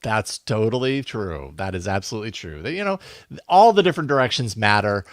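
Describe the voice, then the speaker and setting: A man's voice: on the low side, sing-song, brisk, no room sound, clean studio sound. A man hosting a live show in a casual, informal, conversational way. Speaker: podcast host.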